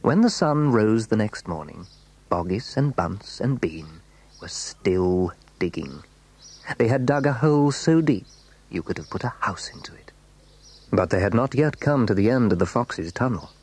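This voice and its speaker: Dramatic kids story narrator, deepish husky voice, builds suspense. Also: male voice, a little slow. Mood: suspenseful. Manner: suspenseful, expressive. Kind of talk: scripted children's story narration.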